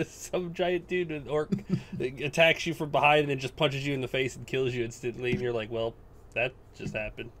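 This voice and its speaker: A man's voice: medium pitch, steady tone, moderate pace, a bit bright, a little hiss or hum. A man chatting casually and enthusiastically about video games as a podcast co-host, rambling and swearing freely.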